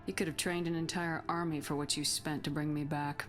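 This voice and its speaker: A woman's voice: low-pitched, flat delivery, fairly quick, lightly acted. An actress playing a tough, guarded female military commander in a video game, speaking bluntly and firmly. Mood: skeptical, firm. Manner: blunt, firm, questioning. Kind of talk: video game cutscene acting. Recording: music behind the voice.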